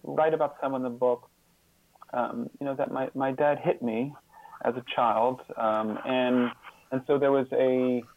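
Guy guest on an interview show, gravelly medium-pitched voice, reflective, keeps restarting his sentences. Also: fairly even intonation, no echo, phone-quality sound. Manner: halting, repeats words and restarts sentences often.

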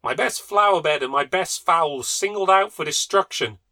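A man voicing an agitated, fussy husband who keeps poultry and a flower garden, speaking raised and excitable. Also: a little high, moderate pace, dry recording, voice pushed up.

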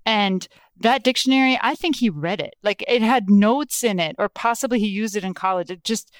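An animated woman author tells stories in a relaxed interview, laughing often and jumping into quoted voices. Her tone is smooth and clean, recorded close and dry with no room sound. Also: medium pitch, normal speed, no room sound. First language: Lithuanian. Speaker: guest author. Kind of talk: casual interview conversation.

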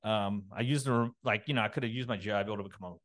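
A man from a Los Angeles suburb, likely in his thirties, talking as a podcast guest in a halting, rambling way full of fillers and restarts. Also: lowish voice, a bit bright. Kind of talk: casual interview talk.

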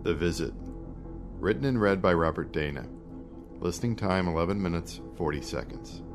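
A man's voice: low-pitched, lively intonation, normal speed, over music. A man reading a scripted podcast announcement as the host.

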